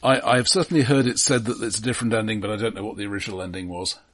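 A man's voice: lowish voice, fairly even intonation, racing, fairly bright tone. A man co-hosting a film podcast in casual discussion, speaking hesitantly and repeating words as he thinks aloud.

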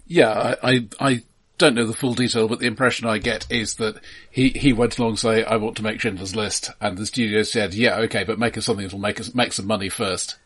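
Male co-host with a raspy, fairly low voice, casually analysing films with dry delivery. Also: steady tone, brisk, a bit bright. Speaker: podcast co-host. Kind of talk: casual film discussion.

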